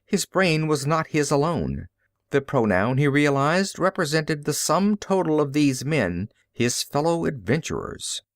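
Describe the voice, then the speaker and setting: A man's voice: on the high side, sing-song, a little slow, no room sound, clean studio sound. A man reading classic fiction aloud as an audiobook narrator, in a theatrical, emphatic, dramatic style.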